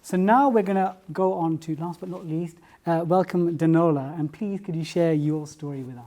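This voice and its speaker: A panel moderator thanking one speaker and introducing the next in a brisk, appreciative way. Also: mid-range voice, mellow.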